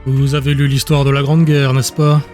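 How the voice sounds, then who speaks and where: A man's voice: medium pitch, level tone, moderate pace, darkish tone, soft background music, lightly acted. Man voicing a suave, smug villain. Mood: smug, courteous. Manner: formal, courtly. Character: suave blond villain, polite, smug, grandly ambitious.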